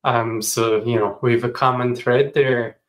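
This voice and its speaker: Casual Irish interviewer. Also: male voice, medium pitch, fairly even intonation, a little slow. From Ireland. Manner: casual and searching, with many fillers. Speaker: podcast host. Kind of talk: interview, conversational.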